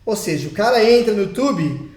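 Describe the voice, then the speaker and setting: High-voiced Brazilian man ranting to viewers. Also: lively intonation, fairly quick, darkish tone. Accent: Brazilian Portuguese. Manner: chatty, emphatic, addresses viewers directly. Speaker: vlogger. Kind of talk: casual opinion vlog. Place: home in Portugal. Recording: roomy echo.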